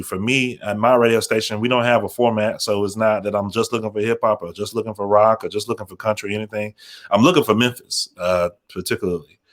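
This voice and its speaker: A man speaking informally on a panel as a radio program manager, thoughtful and halting, often circling back to rephrase. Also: on the low side.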